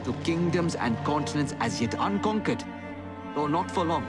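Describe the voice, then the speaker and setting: An actor voicing a male assassin who reads a grave, urgent letter begging a brother for aid, over a loud film score. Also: fairly high pitch, expressive, normal speed, over loud music, natural voice. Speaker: assassin writing a letter, narrating. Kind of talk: dramatic game voice-over.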